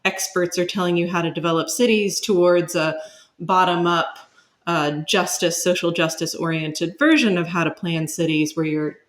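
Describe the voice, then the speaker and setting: A woman with a slightly low voice speaks as an expert podcast guest. She explains things in a measured, academic manner, carefully qualifying each point. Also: fairly even intonation. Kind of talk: explanatory interview talk.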